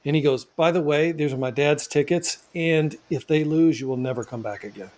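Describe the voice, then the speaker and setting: A man hosting a casual podcast, joking with his guests and telling animated stories. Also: fairly high pitch. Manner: jokey, animated storyteller. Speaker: podcast host.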